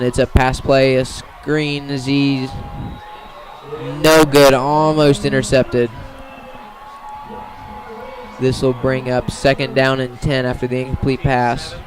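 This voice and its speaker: Even-toned man calling football. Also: medium pitch. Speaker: play-by-play sports commentator. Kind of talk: live high school football radio play-by-play.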